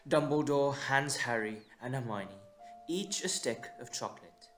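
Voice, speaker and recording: A man's voice: mid-range voice, a little slow, a bit bright. A man narrating a scripted audio drama in a dramatic storytelling style. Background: instrumental music.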